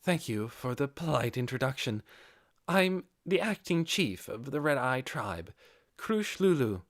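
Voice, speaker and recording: Guy putting on a young female lizardfolk chief, higher voice, measured n polite, bit cynical, fantasy audiobook. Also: very animated, no echo, studio quality. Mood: polite, composed.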